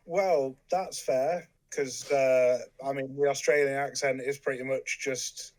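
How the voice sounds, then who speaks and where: A young man with an English accent, a guest in a casual chat, relaxed with lots of ums and dry humor. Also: medium pitch, takes it slowly, rough recording. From England. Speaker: podcast guest.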